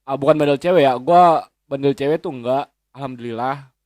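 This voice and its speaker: A man's voice: medium pitch, brisk, darkish tone, dry recording, clean studio sound. A man, chatting as a guest in a rambling, slangy way with lots of swearing and restarts. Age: 20s. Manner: rambling, frequent swearing and self-correcting hesitations. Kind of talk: casual chat.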